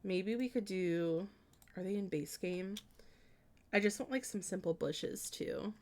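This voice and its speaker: A woman's voice: mid-range voice, a little slow, darkish tone. Woman thinking aloud while streaming. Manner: thinking aloud, hesitant and meandering, with frequent trailing restarts; chatty and friendly when greeting and answering viewers. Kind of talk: casual live-stream chat while building in a life-sim game.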